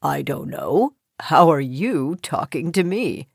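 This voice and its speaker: A woman voicing a calm, cheerful male talking frog with an unhurried manner in a children's story. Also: sits low, creaky, husky, no echo, clean studio sound, voice pushed down, exaggerated voice. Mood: calm, amused.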